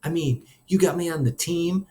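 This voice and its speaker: A man's voice: on the high side, moderate pace, dark tone, a little hiss or hum, pitched above the performer's own voice, lightly acted. A man voicing a distraught, defiant teenage boy in a story, pleading with a strained, breaking voice. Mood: defiant, shaken.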